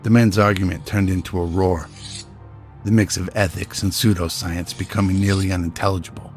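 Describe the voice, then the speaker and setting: A man's voice: low-pitched, flat delivery, brisk, a little mellow, faint music behind. A man delivering dramatic scripted narration as the storyteller of an audio drama.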